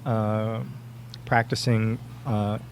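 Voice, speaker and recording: A man's voice: on the low side, level tone, extremely slow, a little hiss or hum. A young man chatting casually as a podcast guest, rambling with many fillers and easy laughs. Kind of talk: casual interview chat.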